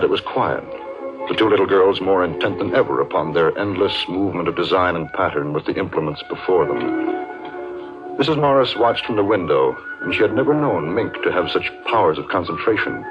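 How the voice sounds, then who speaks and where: A man acting as a grave, deliberate storyteller, narrating a 1950s radio suspense drama. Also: deep voice, expressive. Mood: hushed, measured. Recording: muffled 1950s radio with music.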